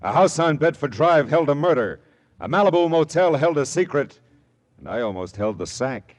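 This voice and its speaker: An actor playing a shrewd, world-weary private detective in mid-century American radio style, with a wry, hard-boiled, knowing manner and an American accent. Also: male voice, on the high side, sing-song, moderate pace. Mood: punchy, teasing. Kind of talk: 1940s-50s American radio detective acting. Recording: vintage radio drama recording with music.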